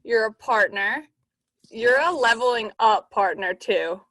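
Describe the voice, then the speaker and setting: A woman's voice: a little high, a little slow, fairly bright tone, dry recording. A woman in her twenties hosting a podcast, joking around in slangy, casual chat. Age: 20s. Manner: slangy, joking, plays characters in quotes. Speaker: podcast host.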